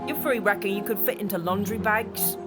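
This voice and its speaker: Woman doing scrappy practical street kid, mid voice, blunt and brisk, loud music behind, audio drama. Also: medium pitch, lightly acted. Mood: brisk, cautious. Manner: informal, brisk, bluntly critical. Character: cocky, practical young Victorian street irregular. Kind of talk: children's audio drama acting.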